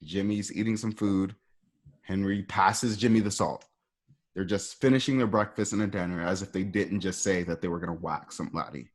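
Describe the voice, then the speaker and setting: Low-voiced man narrating stage directions. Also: fairly even intonation, moderate pace. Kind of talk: scripted narration of screen directions.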